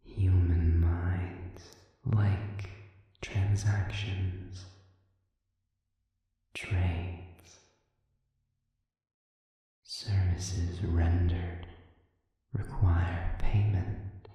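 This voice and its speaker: A performer voicing a sadistic, manipulative demon in a scripted audio roleplay, silkily taunting with slow, deliberate pauses and breathy near-whispers. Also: deep voice, level tone, lo-fi sound. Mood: menacing, measured. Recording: echoing hall reverb, distant dark sound.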